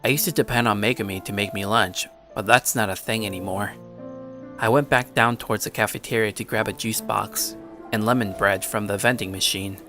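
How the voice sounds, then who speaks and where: A man's voice: fairly low pitch, fairly even intonation, a little fast, sharp tone, soft background music. Man acting an earnest, anxious schoolboy narrator. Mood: resigned, reflective. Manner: conversational, introspective. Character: earnest, anxious teenage schoolboy narrating his troubled friendships. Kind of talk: anime-style audio story, first-person scripted narration.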